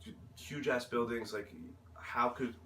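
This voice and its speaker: A young man with an American accent answering interview questions informally, haltingly, often searching for his words. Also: mid-range voice. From United States. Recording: roomy, distant mic, faint noise.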